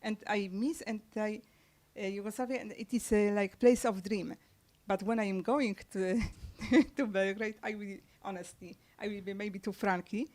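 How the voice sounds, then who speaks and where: A woman author whose first language is Serbian, answering questions at a book launch in halting speech with many fillers and restarts. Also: mid-range voice, steady tone, darkish tone. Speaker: author guest. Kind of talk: conversational book-launch interview. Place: press club event room.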